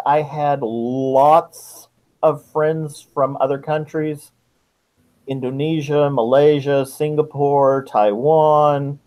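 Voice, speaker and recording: A middle-aged man speaks as an interviewed author, taking his time. His voice sits a little high for a man, and his delivery is measured and reflective. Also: a little mellow. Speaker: guest author. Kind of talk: interview answers about writing.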